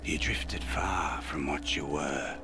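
An actor playing an eerie, knowing mystic guide in a video game, with a breathy, half-whispered, probing delivery. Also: male voice, rumbling low, takes it slowly, heavy background noise. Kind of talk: video game character acting.

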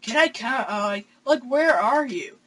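A young man hosting a console game let's play from his room, excitable and gasping, with hesitant fillers. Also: very high-pitched, a little slow, breathy edge. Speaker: gaming channel host. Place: home room with console screen.

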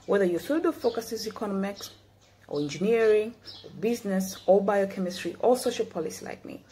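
A woman's voice: mid-range voice, warm tone, a little hiss or hum. A young woman in her twenties from Tanzania delivers a prepared, earnest speech to a youth forum over a video call. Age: 20s. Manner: measured, earnest, read-from-notes delivery. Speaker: guest speaker. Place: indoor room. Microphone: laptop webcam, video call.

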